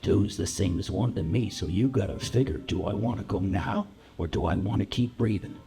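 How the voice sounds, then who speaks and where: A man playing a menacing war-veteran biker gangster in a stage monologue, speaking quietly and deliberately with coarse, threatening words. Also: fairly low pitch, gravelly, soft background music, voice pushed down. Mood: cold, coaxing. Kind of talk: dramatic monologue in a live play reading.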